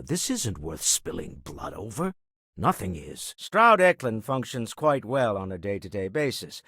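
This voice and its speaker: An older male actor playing a shrewd, urbane businessman in a video game, raspy and articulate, with dryly formal, measured phrasing. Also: medium pitch, no echo, clean studio sound, full character voice. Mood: grave, regretful. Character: shrewd, urbane businessman explorer, wry and principled. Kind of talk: video game character dialogue.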